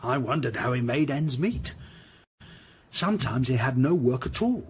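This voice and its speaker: A man's voice: mid-range voice, lively intonation, normal speed, husky. An actor playing an anxious, hesitant Tudor master tradesman giving reluctant, deferential witness in a period radio drama. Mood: deferential, confiding. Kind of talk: period audio drama acting.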